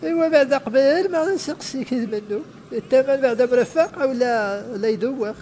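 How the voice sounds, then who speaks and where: A man's voice: extremely high pitch, a little fast, darkish tone, a little hiss or hum. A man voicing a feisty, stubborn older woman in a comedy cartoon, with a northern Moroccan accent and a grumbling, argumentative manner.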